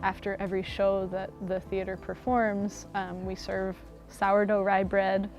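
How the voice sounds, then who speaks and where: Woman explaining, medium-pitched voice. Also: level tone, measured pace, darkish tone, lo-fi sound, faint music behind. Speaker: puppeteer and theater member. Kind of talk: explanatory interview.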